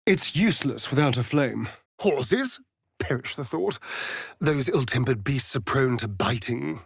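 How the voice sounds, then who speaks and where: A man's voice: mid-range voice, animated, measured pace, creaky, breathy edge, no echo, exaggerated voice. A mocking vampire elf rogue for a fantasy game, speaking in an English accent with swooping, theatrical intonation. He takes his time over each phrase, languid, vain and teasing. The sound is dry and close, with no room on it. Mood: dry, wry.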